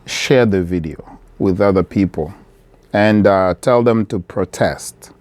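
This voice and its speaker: A middle-aged man from the Democratic Republic of Congo talks straight to the camera from his shop. His voice is low and clean, and he speaks very slowly and earnestly, coming back to the same phrases again and again. The sound is close and dry. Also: a little mellow, no room sound. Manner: earnest, measured, repeating key phrases. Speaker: vlogger, business owner. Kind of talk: direct-to-camera appeal.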